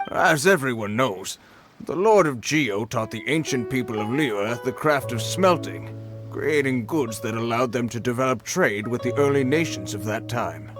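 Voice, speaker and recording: A man's voice: mid-range voice, animated, moderate pace, lightly acted. A man doing a pushy history buff. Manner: challenging, leading questions. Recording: game cutscene with music underneath.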